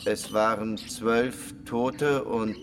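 A man's voice: fairly low pitch, steady tone, very slow, background noise. An actor playing a battered, resigned male prisoner in a radio drama, speaking with bitter irony. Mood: grim recounting. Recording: long echoing reverb, music underneath.

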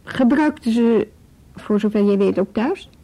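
An actress playing a calm, practical policewoman in a radio drama, talking in a measured, reassuring way. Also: a little high. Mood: gently cautioning. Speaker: actor in a radio play. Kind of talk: naturalistic radio drama dialogue.

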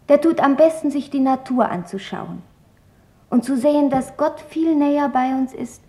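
A high-voiced, earnest young girl in a radio drama. She tells her story in deliberate literary phrases, thoughtful and serious throughout. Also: a little mellow. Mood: reflective, calm. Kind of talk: dramatic literary reading in German radio play.

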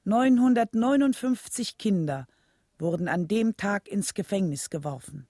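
Serious chronicler narrating history for radio, mid voice, dry recording. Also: female voice, mid-range voice, animated, measured pace, mellow, no room sound. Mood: sober. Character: sober chronicler of the civil rights movement. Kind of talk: scripted radio documentary-drama narration.

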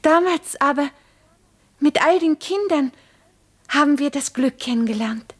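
An actress playing a grown woman wistfully recalling a hard childhood in a radio play, with reflective, deliberate phrasing. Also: sits high, animated. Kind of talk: German radio play monologue.

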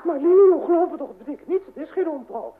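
A man's voice: extremely high pitch, animated, fast talker, voice pushed up. A man voicing a pleading, overwrought melodrama heroine begging her lover, in old radio acting. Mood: desperate pleading. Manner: overwrought, imploring. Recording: tinny, noisy old radio recording.

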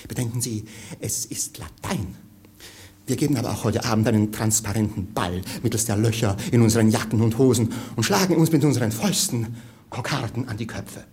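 An actor playing a pedantic village schoolmaster, bossy and obsequious, in a 1950s German radio comedy. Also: male voice, mid-range voice, a little fast, a little mellow, lightly acted. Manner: pedantic, barking then obsequious.

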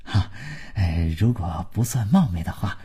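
A man's voice: deep voice, animated, measured pace, warm tone, husky, pitched below the performer's own voice, exaggerated voice. A man voicing a middle-aged man who fawns and stammers on a phone call and later whispers slyly. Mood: ingratiating. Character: middle-aged man, ingratiating then sly.

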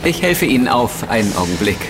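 An actor in a German audio drama playing a courteous, brisk surveillance agent with a formal, polite manner. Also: male voice, medium pitch, big pitch swings, lightly acted, rough recording. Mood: apologetic, brisk. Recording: music behind the voice.

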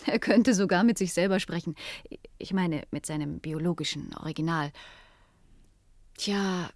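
A brainy woman scientist in a sci-fi radio play, thinking out loud, bit hesitant, dry studio sound. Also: fairly low pitch, a little slow, pauses often, no echo. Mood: thoughtful, explaining. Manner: hesitant, thinking aloud, explanatory. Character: Natascha, thoughtful female cybernetics scientist reasoning things out. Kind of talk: German science fiction radio play acting.

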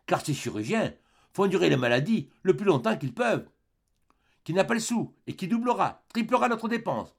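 A man's voice: fairly high pitch, creaky, breathy edge, full character voice. A man playing a poor, exasperated young peasant husband who rants querulously in an audiobook story. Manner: querulous, ranting in rhetorical questions.

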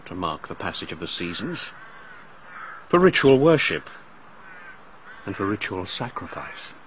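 An actor playing a calm, menacing man who performs a ritual sacrifice in a radio drama, speaking in a soft, solemn, hypnotic way. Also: deep voice, a little slow, slight background noise. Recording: dull lo-fi broadcast recording.

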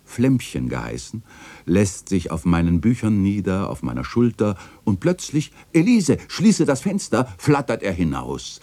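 An actor playing an ageing, fond, bookish chronicler, narrating a literary radio play in a reflective, lyrical, tender way. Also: male voice, low-pitched, mellow, heavily acted. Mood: wistful, reflective.

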